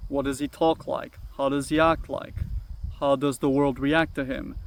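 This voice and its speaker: A man's voice: mid-range voice, flat delivery, normal speed, a little hiss or hum, low-quality recording. A young man giving an earnest self-help lecture to camera, often ending points with "right?". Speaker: self-improvement YouTuber. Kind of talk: talking-head self-help monologue.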